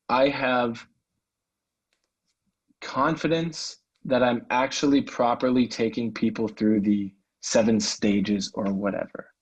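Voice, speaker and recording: Husky guy on a sales call, candid and kinda self-effacing, just chatting casually. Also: mid-range voice, dull audio. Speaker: salesman, prospective coaching client. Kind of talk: casual, candid phone conversation. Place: recorded call.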